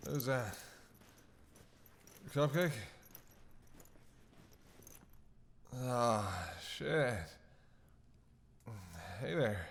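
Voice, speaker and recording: A man playing a starving captive vampire in an audio roleplay, halting and breathy, weak, wry and desperate. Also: mid-range voice, lively intonation, exaggerated voice. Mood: dazed, wry. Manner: halting, wry, intimate address to listener. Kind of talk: dramatic audio roleplay monologue.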